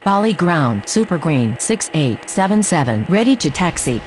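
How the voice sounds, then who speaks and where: A woman's voice: fairly low pitch, very animated, moderate pace, a little mellow, low-quality recording. A woman speaking scripted air traffic radio phraseology in a game.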